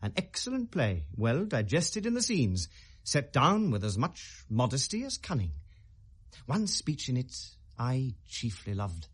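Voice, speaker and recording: A British actor playing a brooding, sardonic young prince feigning madness, theatrical and mercurial in a 1970s classical radio drama. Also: male voice, medium pitch, wildly swooping pitch, unhurried, breathy edge, full character voice. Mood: reflective, declaiming. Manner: theatrical, mercurial, precisely declaimed.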